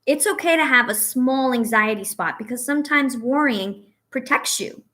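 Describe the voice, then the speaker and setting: A woman reading a picture book aloud to young children as a teacher, pausing to ask questions and offer encouragement and reassurance. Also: high-pitched, bright, forceful tone. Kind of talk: read-aloud story with asides to young children.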